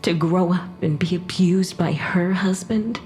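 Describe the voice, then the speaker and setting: An actress playing the ghost of a murdered young mother in an audio drama, sharp-tongued and emotional, halting when upset. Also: on the low side, fairly even intonation, soft background music, close to an everyday voice. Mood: grieving, bitter. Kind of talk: dramatic audio fiction acting.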